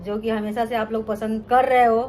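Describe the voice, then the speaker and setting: A woman's voice: on the high side, level tone, moderate pace, a little mellow, a little hiss or hum. A middle-aged woman from Bihar, telling her life story to viewers in a chatty vlog and often calling them friends. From Bihar, India. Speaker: cooking channel host.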